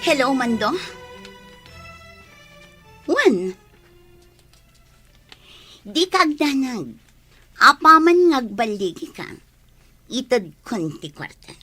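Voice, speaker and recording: An actress playing a jealous, scheming wife in an Ilocano radio drama, with a theatrical, emphatic delivery. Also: female voice, sits high, sing-song, extremely slow, pauses often, sharp tone, husky, soft background music, exaggerated voice. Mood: sly, conspiratorial. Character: jealous, scheming wife plotting against her rival.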